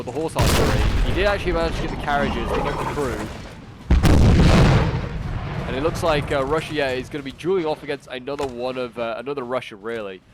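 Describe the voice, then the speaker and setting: A man with a stuffy, bunged-up voice from a cold, chatting casually through a strategy game battle and thinking aloud with many fillers. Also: mid-range voice. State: congested with a cold. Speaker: game commentator. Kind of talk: casual gameplay commentary. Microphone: new desktop microphone. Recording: game battle sounds behind. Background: gunfire and artillery from the game.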